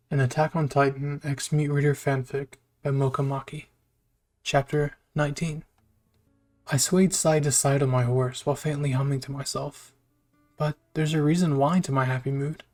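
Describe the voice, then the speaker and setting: Male story narrator, slightly high voice, reading scripted fan fiction. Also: a little mellow.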